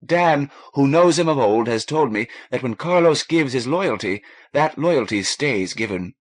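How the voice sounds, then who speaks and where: A man voicing a warm, frank Irish woman, a ship's doctor, in a lilting, coaxing manner for an audiobook. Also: mid-range voice, expressive, measured pace, voice pushed up. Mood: encouraging, frank.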